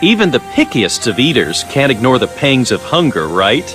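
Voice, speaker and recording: Man playing genial game scientist, sly, unruffled lecturer, medium pitch, animated intonation. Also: normal speed, over music, lightly acted. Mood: pleased, sly. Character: clever, genial, sly male scientist doctor. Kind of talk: English video game voice acting.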